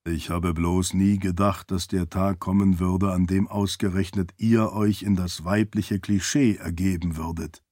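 A man voicing a calm, dry, formal spirit servant in an audiobook, soft-spoken and deferential. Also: deep voice, measured pace, few pauses, dry recording, voice pushed down. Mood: quiet, dry.

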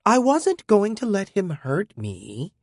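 A sulky teenage girl, voiced by a male audiobook reader who talks back with scorn. The voice sits high and swings widely. The recording is dry and close. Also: wildly swooping pitch, unhurried, darkish tone, breathy edge, dry recording, full character voice. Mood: scornful.

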